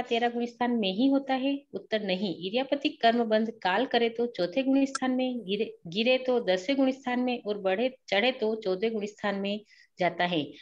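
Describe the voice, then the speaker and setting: A woman reads out numbered study questions and their answers in a steady reading voice, a little high. The sound is dull and lo-fi.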